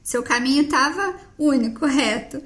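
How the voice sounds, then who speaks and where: High-voiced woman explaining ideas. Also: measured pace, fairly bright tone. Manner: thoughtful, explaining ideas, frequent "né". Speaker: podcast co-host. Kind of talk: casual chat.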